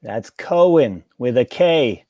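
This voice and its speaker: A man playing a thin, sickly, timid yet stubborn barber in a table read, with a husky voice and dry manner. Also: mid-range voice, animated, takes it slowly, mellow, no echo. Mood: wary, indignant.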